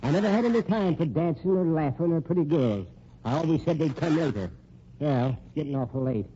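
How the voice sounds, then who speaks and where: A man acting a folksy, mellow old millionaire. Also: a little high, animated, a little fast, natural voice. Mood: touchy, insistent. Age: older. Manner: folksy, boastful, touchy. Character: elderly vain millionaire, smitten with young women. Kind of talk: old-time radio drama acting. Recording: muffled old radio recording, faint noise.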